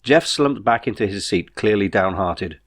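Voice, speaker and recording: A man reading his own novel as a scripted audiobook, in a measured storytelling manner. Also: fairly low pitch, animated.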